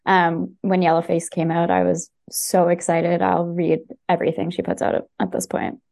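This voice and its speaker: A woman's voice: fairly low pitch, flat delivery, moderate pace, gravelly, a little mellow. A woman chatting casually and enthusiastically on a podcast, with many fillers and restarts. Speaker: podcast guest recommending books. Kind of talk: casual chat.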